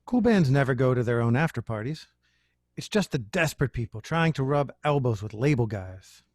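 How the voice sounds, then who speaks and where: A man's voice: medium pitch, animated, normal speed, a little mellow, no echo, clean studio sound, natural voice. A man playing a brash, eager young band member in an amateur table read.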